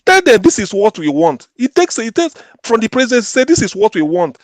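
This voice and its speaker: A man's voice: sits high, very animated, a little fast, no room sound. A man with a Nigerian accent in a heated panel discussion, speaking insistently and repeating himself. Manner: insistent, repetitive.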